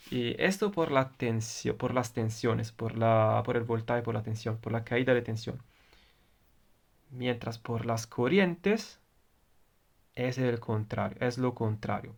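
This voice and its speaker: A man's voice: medium pitch, vocal fry. A man with an Italian accent, a native Italian speaker, teaching an electronics lesson and often repeating and rephrasing his points. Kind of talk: explaining electronics on video.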